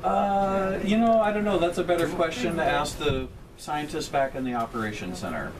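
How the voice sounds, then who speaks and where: A man's voice: on the high side, moderate pace, a crowd in the background. A middle-aged man, a transmitter technician, explaining equipment in plain technical terms to a tour group inside a metal shelter. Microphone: camera held by a visitor a few feet away. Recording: distant camera mic with background hum.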